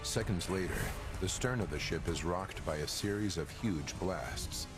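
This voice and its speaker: A man's voice: low-pitched, measured pace. A man with an American accent reading dramatic documentary narration in a grave, suspenseful manner. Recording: loud dramatic music underneath. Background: dramatic score.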